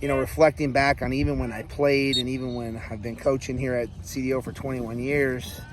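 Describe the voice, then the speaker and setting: A man of about forty, a high school coach, giving casual interview answers. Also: flat delivery, fairly quick, noisy background. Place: outdoors by a sports field. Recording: outdoor field recording with background voices.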